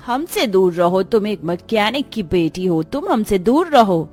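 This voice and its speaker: A snobbish rich schoolgirl bully played by a woman sneers in a cartoon moral story. Her pitch swings widely as she talks down in scorn and menace, with music behind. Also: sing-song, a little slow, a little mellow. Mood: scornful. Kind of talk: Hindi animated moral story dialogue.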